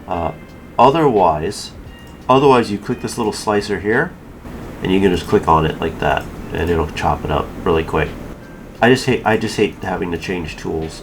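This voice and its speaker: A man hosting a casual software tutorial, explaining each step in an informal way. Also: sits low. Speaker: tutorial host. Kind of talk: casual screen-recorded software tutorial narration.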